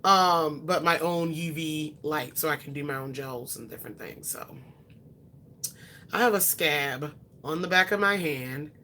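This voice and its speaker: A young woman in her twenties chats with her viewers, answering their questions off the cuff. Her voice sits low yet sounds bright and forward. She rambles a little, filling gaps with ums and correcting herself as she goes. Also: measured pace, slight background noise. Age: 20s. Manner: chatty, rambling, with frequent ums and self-corrections. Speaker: beauty vlogger.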